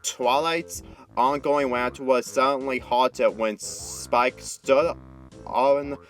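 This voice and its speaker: A man reads fan fiction aloud as an amateur narrator. His pitch is average, and he trips over phrases and repeats them. Music plays behind him. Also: steady tone, extremely slow, a bit bright. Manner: stumbles and rereads phrases. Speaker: story reader.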